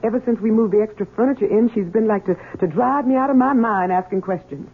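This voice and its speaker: An anxious old housekeeper, quick and husky. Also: female voice, on the high side. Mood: pleading. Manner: pleading, anxious. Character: elderly woman housekeeper, fearful and pious. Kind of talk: 1970s radio drama acting. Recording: lo-fi phone-like old radio broadcast.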